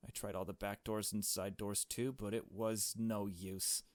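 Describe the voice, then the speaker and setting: A man's voice: fairly low pitch, level tone, unhurried, no room sound. A man playing a visual novel, reading the story aloud and adding his own casual commentary. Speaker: let's player.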